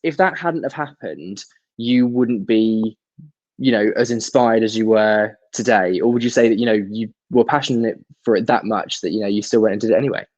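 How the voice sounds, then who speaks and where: A man's voice: fairly low pitch, moderate pace, a little mellow. A man interviewing a guest, asking long, rambling questions with many hesitations.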